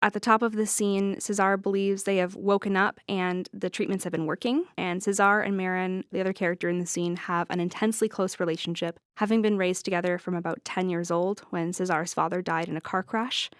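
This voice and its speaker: Woman in her 20s talking about writing plays, really smooth voice, close dry sound. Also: lowish voice, flat delivery, a little fast, hardly any pauses, dry recording. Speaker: playwright, interview guest. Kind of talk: explaining their work in an interview.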